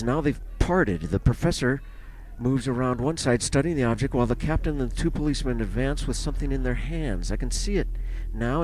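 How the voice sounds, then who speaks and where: A man's voice: mid-range voice, a little mellow, close to an everyday voice, rough recording. A man playing a frantic 1930s radio drama field reporter, stammering and horrified as he gives a live eyewitness account of an alien invasion.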